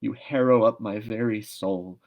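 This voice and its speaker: A man's voice: lowish voice, fairly even intonation, a little slow, a little mellow, rough recording. A man voicing a stubborn young schoolgirl in a storybook, solemn, earnest and theatrically reproachful. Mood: sad, resolute.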